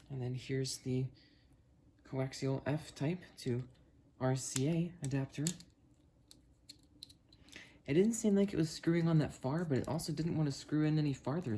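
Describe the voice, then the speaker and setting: A hobbyist talks through an unboxing in a casual, halting way. The voice sits at a medium pitch and thinks aloud between small tasks. Faint noise and clicking from handled parts accompany the speech. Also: warm tone. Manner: halting, thinking aloud while busy with hands. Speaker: hobbyist video creator. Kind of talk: casual unboxing and testing narration. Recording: noisy, with clicks and handling sounds.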